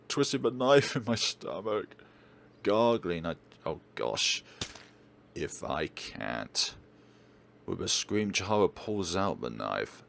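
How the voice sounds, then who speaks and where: A man's voice: low-pitched, gravelly, a bit bright, slight background noise. A man hosting a let's play, narrating and commenting on a visual novel in a theatrical, emphatic way. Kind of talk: dramatic game narration and commentary.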